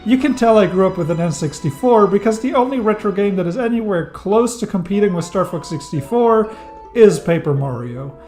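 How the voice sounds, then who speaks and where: A man's voice: high-pitched, mellow. Wry enthusiastic non-native man reviewer. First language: not English. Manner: wry, enthusiastic, dry jokes. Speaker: game reviewer. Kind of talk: scripted video-essay narration.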